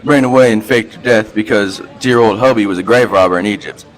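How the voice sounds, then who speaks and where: A man's voice: on the low side, brisk, lightly acted, rough recording. A man acting a reverend with a hoodlum past in an amateur radio play, talking in street slang and folksy storytelling. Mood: confiding.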